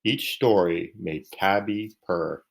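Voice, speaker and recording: A man's voice: sits low, slow, gravelly. A man reading a children's bedtime story aloud. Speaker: storybook reader.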